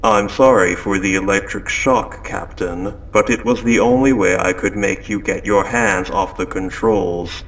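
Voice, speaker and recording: A spaceship computer gone quietly mad, acted out by a man, speaks in a low, gravelly voice. It is polite and measured, coldly insistent on control, with deliberate pauses in an audio drama monologue. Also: slight background noise, rough recording. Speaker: audio roleplay performer. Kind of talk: scripted second-person audio drama monologue.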